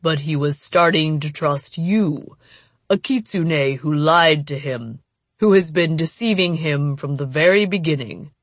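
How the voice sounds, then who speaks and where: A woman voicing a cruel, mocking male demon in an audiobook, speaking in a slow, menacing, gloating way. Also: low-pitched, no room sound, voice pushed down. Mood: taunting. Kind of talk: dramatic audiobook character voice.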